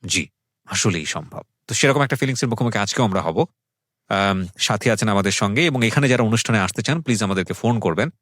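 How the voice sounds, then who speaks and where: Low-voiced male radio host, close dry sound. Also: animated, fast, a bit bright, dry recording. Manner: reflective, articulate, mixes in English words. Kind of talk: radio show introduction and interviewing.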